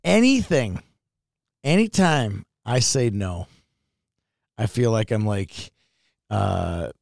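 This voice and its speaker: A man's voice: fairly low pitch, lively intonation, takes it slowly, gravelly, a little mellow, dry recording, clean studio sound. A man with a Minnesotan accent co-hosting a sports podcast in loose, teasing, casual chat. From Minnesota, United States.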